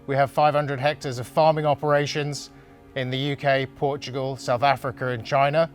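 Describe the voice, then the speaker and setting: Friendly brisk male co-host. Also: medium pitch. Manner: brisk, friendly, practical with fillers. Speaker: webinar co-host and interviewer. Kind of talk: presenting and interviewing.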